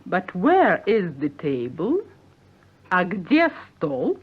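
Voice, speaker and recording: A woman's voice: lowish voice, wildly swooping pitch, slow pace, husky. A woman giving a scripted 1950s radio language lesson in a precise, carefully enunciated teaching manner. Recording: tinny, phone-like 1950s radio recording.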